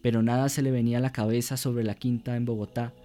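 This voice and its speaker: A man narrating a scripted radio drama in a solemn, theatrical way with measured phrasing. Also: medium pitch, level tone, dark tone.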